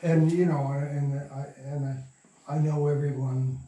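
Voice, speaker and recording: An older man telling anecdotes casually as an interview guest, in a rambling, halting way, recorded in a roomy space. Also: a little high, fairly even intonation, warm tone. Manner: rambling, halting, chuckling raconteur. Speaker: interview guest, radio producer and sound recordist. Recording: roomy echo, distant from mic.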